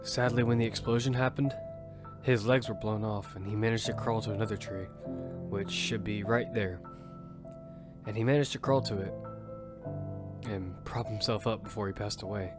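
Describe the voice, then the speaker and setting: A man's voice: on the low side, fairly even intonation, moderate pace, pauses often, natural voice. A man playing a battle-hardened survivor who narrates in grim first person and leads his team. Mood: steady. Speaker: narrator and lead character. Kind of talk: audio fiction narration. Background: music.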